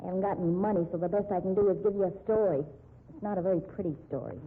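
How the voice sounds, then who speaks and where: Wronged young female store clerk, played by an actress, earnest 1950s radio acting, husky edge, lo-fi vintage sound. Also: medium pitch, very fast, lightly acted. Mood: somber recounting. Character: honest young female shop clerk, wrongly accused, indignant. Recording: muffled, dull 1950s radio recording.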